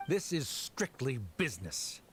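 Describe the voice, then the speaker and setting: A man's voice: mid-range voice, big pitch swings, unhurried, a bit bright, breathy edge, full character voice. A man voicing a cold, pompous middle-aged official in a video game, formal and condescending. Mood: dismissive. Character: stern, pompous middle-aged male scholar official, coldly authoritative.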